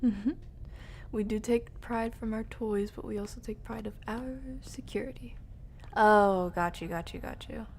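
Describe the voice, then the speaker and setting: Slow-paced woman streaming a game. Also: mid-range voice, fairly even intonation, a little hiss or hum. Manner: talks to herself and viewers, slangy. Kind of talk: casual live gameplay commentary.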